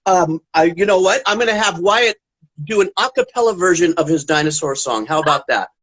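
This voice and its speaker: Gentle, rambling children's music teacher, a man. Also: high-pitched, expressive, brisk, rough recording. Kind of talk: chatting on a children's puppet show.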